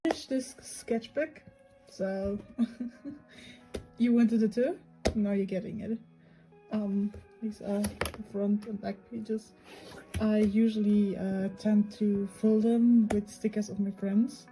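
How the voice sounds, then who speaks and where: A woman with a hoarse, cold-roughened voice shows off her sketchbook, rambling and giggling with lots of ums. Her delivery is slow and her tone very dark and mellow. Soft music plays faintly underneath. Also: medium pitch, steady tone, low-quality recording. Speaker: VTuber artist. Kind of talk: casual sketchbook tour chat.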